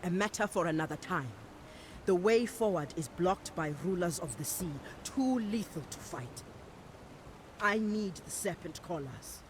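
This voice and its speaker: An actress playing an earnest, resolute priestess in a fantasy game, speaking formally and deliberately. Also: female voice, fairly low pitch, animated. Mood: earnest, pressing. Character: earnest, resolute priestess leader of an island people. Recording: noisy ambient background.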